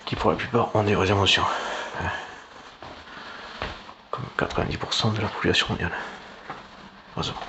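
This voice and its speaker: A man giving a rambling, informal political monologue in a halting, sardonic way, with slang and swearing. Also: fairly low pitch, steady tone. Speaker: online political commentator. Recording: clearly noisy background.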